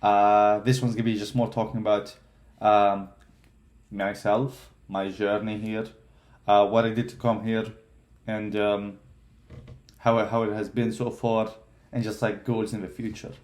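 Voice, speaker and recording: A man's voice: lowish voice, little pitch movement, unhurried, pauses often, a little mellow. A man in his twenties from Palestine, telling his life story casually with many fillers and restarts. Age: 20s. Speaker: vlogger.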